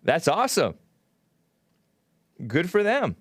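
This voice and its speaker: A man's voice: sits high, huge pitch swings, slow, no room sound, clean studio sound. A man hosting a call-in talk show, talking casually and laughing at his own remarks.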